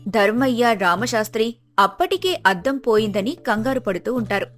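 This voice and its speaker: A woman's voice: fairly high pitch, animated, normal speed, faint music behind. A woman reading scripted narration of a moral folk tale as the storyteller.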